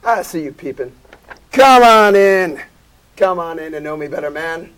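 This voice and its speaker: A man's voice: a little high, lively intonation, normal speed, fairly bright tone. A man playing a boisterous, jolly giant Christmas ghost in a comedic amateur audio play, bellowing and hammy but casual. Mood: jovial, booming. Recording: clearly noisy amateur recording.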